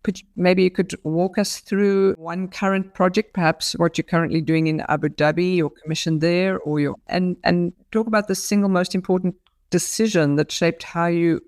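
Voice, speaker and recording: A woman's voice: fairly low pitch, fairly even intonation, normal speed, darkish tone, dry recording, clean studio sound. A woman hosting an interview show, reading a scripted introduction and asking long, multi-part questions. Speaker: podcast host.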